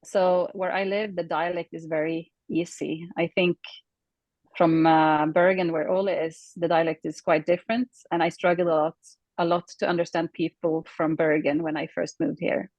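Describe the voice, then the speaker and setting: A woman's voice: fairly low pitch, little pitch movement, normal speed, a little mellow, no room sound, lo-fi sound. Swedish woman chatting on a video call. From Sweden. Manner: thoughtful, searching for words. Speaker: interview guest. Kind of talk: casual conversational English.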